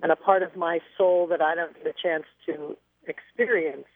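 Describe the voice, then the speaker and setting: A woman's voice: fairly low pitch, steady tone, normal speed, no room sound. A woman singer telling stories as a guest in a radio interview, chatty and reflective, heard over a phone line.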